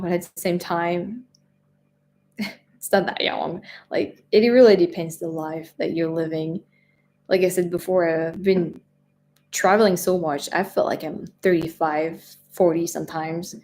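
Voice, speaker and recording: Woman, 20s, French accent, slightly low voice, easygoing live-stream chatter who rambles. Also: darkish tone, a little hiss or hum. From France. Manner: chatty, rambling, lots of fillers and restarts. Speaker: travel vlogger answering live chat. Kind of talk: casual live-stream chat.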